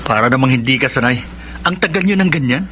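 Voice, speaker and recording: An actor playing an easygoing Filipino drinking buddy giving friendly advice in a radio drama. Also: male voice, medium pitch, lively intonation, fast talker, background noise, lightly acted. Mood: teasing, advising.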